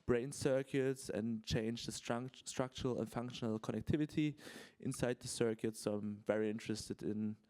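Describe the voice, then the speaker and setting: Man, PhD student, conference introduction, careful, searches for words, mellow tone. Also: medium pitch, steady tone, moderate pace, hardly any pauses.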